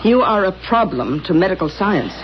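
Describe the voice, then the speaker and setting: A woman's voice: lowish voice, big pitch swings, normal speed, slight background noise. A pompous, earnest middle-aged woman doctor in an old radio play. She declaims with sweeping intonation, on a slightly muffled recording. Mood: grave, earnest. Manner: emphatic, declamatory. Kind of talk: 1970s radio comedy-drama acting.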